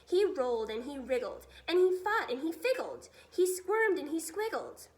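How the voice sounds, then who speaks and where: A woman reading a children's story aloud as expressive scripted narration. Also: high voice, normal speed. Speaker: storybook reader.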